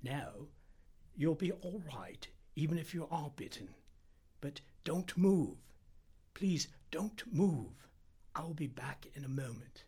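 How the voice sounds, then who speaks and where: A man voicing an anxious, high-pitched little Indian doctor. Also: expressive, mellow, heavily acted. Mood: hushed, reassuring. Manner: urgent, halting, deliberate, breathy at times. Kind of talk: audiobook character dialogue.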